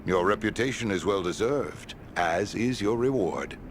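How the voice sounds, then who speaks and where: A stern old sage from a fantasy game. The voice is low and formal, each phrase set down deliberately, with clear noise behind it. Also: male voice, expressive. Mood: approving, formal. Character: stern, dignified old wizardly quest-giver. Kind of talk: video game character acting. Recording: clearly noisy game capture.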